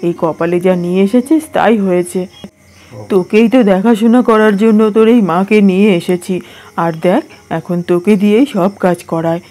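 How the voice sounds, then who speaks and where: A woman voicing a gentle, resigned middle-aged father, speaking in a lower, tired tone. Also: normal speed, close to an everyday voice.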